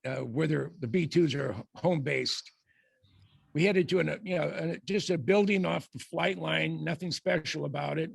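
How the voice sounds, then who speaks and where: An older man speaks with a deeply gravelly, raspy voice. He tells rambling anecdotes, stopping and restarting often. The sound is dry and close, with a slightly high pitch for a man. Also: no room sound. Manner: halting, many restarts and fillers. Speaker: guest speaker.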